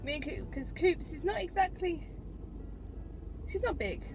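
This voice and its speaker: A woman's voice: very high voice, lively intonation, unhurried, vocal fry, over music. A young British woman vlogging casually from inside her car, rambling conversationally to a dashboard camera. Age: young adult. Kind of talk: casual chat to camera while driving. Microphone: camera mounted on the dashboard.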